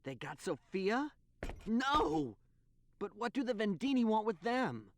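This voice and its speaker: An actor playing an earnest, bewildered youth of nineteen in a video game, boyish and hesitant. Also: male voice, high-pitched, sing-song, measured pace, exaggerated voice. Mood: alarmed. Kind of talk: video game cutscene acting.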